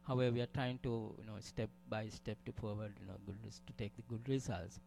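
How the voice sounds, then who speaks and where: A man's voice: on the low side, steady tone, fairly quick, creaky, a little mellow, faint music behind. A man from Sri Lanka giving a conference presentation, hesitant, with many fillers and restarts. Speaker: conference speaker.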